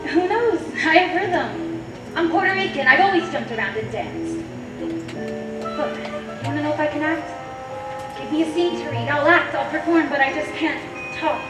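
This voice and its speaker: A woman acting a brash, quick-talking young dancer on a stage, with sharp sassy retorts. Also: high voice, over music. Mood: nervous. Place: theater stage. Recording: echoey stage from far off, noisy.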